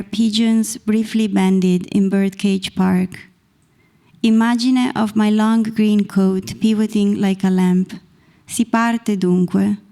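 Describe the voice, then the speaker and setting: A woman in her thirties from Italy with an Italian accent, reading her poetry slowly and deliberately into a stand mic on a small stage. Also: mid-range voice, vocal fry, warm tone. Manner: measured, deliberate reading from a page. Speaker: poet reading her work. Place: small stage in a dark performance venue. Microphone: stand mic close to the mouth.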